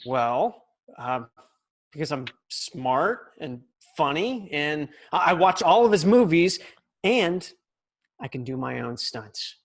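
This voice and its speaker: Man reading excitable young boy, slow-paced, wide pitch swings, table read. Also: heavily acted. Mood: excited. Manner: eager, excitable kid delivery. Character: eager young boy wanting to learn kung fu.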